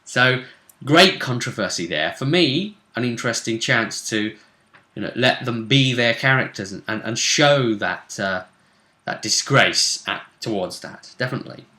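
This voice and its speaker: A man hosts a casual chat about a tabletop role-playing game. His voice is medium in pitch and sharply bright. The sound is roomy, with noticeable echo around it. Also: expressive, measured pace. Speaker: host recapping a tabletop role-play game.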